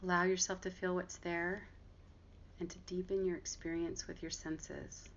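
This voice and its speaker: A woman guiding a body scan meditation, giving gentle, unhurried instructions with long pauses between phrases. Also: fairly low pitch, level tone. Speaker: meditation guide and therapist. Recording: dull lo-fi audio with clear background noise.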